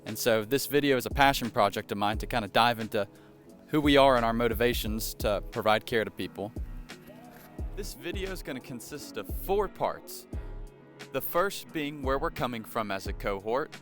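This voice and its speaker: A young man, a nursing student, calmly explaining the parts of his video project about his classmates. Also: medium pitch, normal speed, soft background music. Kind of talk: narrated video project with interviews.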